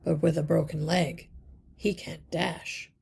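A woman reading aloud and voicing a wise male rat leader who explains things in a measured way. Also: deep voice, flat delivery, gravelly, a little mellow, a little hiss or hum, full character voice. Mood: explaining.

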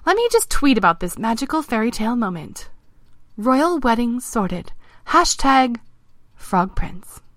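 A young storybook princess, as a female narrator puts her on, gushing and exclaiming. Her voice sits high above the narration. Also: big pitch swings, unhurried, dry recording, studio quality. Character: young blonde fairy-tale princess, gushing, social-media obsessed.

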